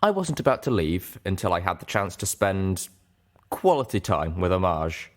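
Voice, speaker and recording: A man narrating an audiobook as an earnest, self-doubting young mare, in a measured, deliberate way. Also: low voice, sing-song. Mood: reflective. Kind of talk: scripted first-person audiobook narration.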